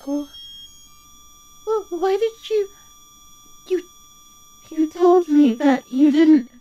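A high, soft-toned actress playing a tearful, lonely woman in an ASMR audio drama. She is devoted and remorseful, stammering and breaking off. Her delivery is extremely slow and breathy, often sinking toward a whisper. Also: animated, muffled recording, soft background music, full character voice. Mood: hurt, confused. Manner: halting, stammering, breaking up mid-sentence. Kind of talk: ASMR audio roleplay acting.

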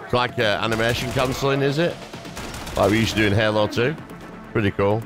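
A low-pitched man chats casually through his gameplay, talking to himself and breaking into repeated exclamations. Game music and gunfire run clearly behind his voice. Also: fairly quick. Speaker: video game streamer. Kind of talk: casual let's play gaming commentary.

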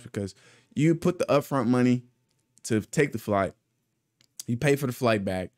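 A man's voice: mid-range voice, dry recording. A young man hosting his own channel, telling a story in a loose, rambling, profane way into a studio mic at home. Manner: laid-back, profane, rambling with restarts. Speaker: channel host. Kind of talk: casual storytime. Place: small home studio with foam panels. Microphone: studio mic with pop filter on arm.

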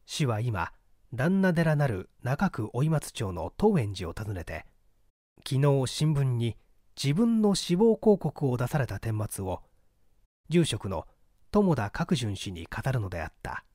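A man narrates literary mystery fiction like a stage actor. His voice is medium in pitch with lively, wide-moving intonation. The recording is dry, free of room sound. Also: takes it slowly, no room sound. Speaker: audiobook reader and stage actor. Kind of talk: dramatic scripted literary narration.

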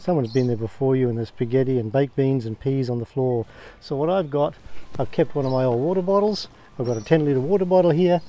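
Aussie bloke showing off his camper out in the backyard, mellow voice, lots of ums. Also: medium pitch. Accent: Australian. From Australia. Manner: plain, unhurried, many ums and uhs. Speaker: camping vlogger. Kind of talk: casual walkthrough to camera. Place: outdoors, backyard by a caravan.

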